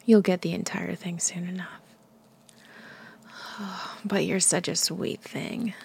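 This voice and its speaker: A woman whispering an intimate audio roleplay, breathy, teasing and commanding. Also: lowish voice, fairly even intonation, slow pace, a little mellow, slight background noise.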